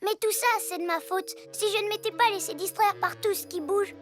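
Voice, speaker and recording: A woman voicing an excitable, earnest six-year-old boy superhero in an animated cartoon. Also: very high voice, a little fast. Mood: contrite, earnest. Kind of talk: animated cartoon dub acting.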